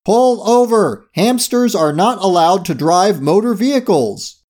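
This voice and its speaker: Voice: medium pitch, animated, measured pace, darkish tone, pitched above the performer's own voice. A storyteller voicing a stern, officious policeman calling out orders.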